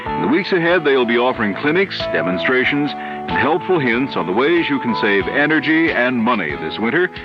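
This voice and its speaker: Male American station announcer, medium pitch, scripted radio read, lo-fi broadcast with music underneath. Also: very animated, moderate pace. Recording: dull 1970s AM radio, music behind.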